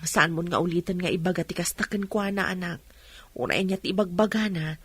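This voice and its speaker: A woman playing a patient, gentle mother in an Ilocano radio drama. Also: lowish voice, fairly quick, slightly rough, dry recording. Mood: gently chiding.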